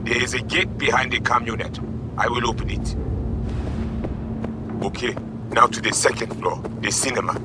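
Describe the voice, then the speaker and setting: An actor playing a stern hacker gang lieutenant in a video game, with a Haitian accent and clipped, commanding speech. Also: male voice, mid-range voice, steady tone, normal speed, long pauses, very noisy, lightly acted. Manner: clipped, commanding orders in broken English. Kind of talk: video game dramatic acting.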